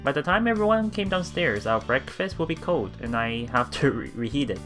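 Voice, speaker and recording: A man reading visual novel text aloud as a let's play host, with casual commentary and a halting delivery that repeats phrases. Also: medium pitch, big pitch swings, fairly bright tone. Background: game music.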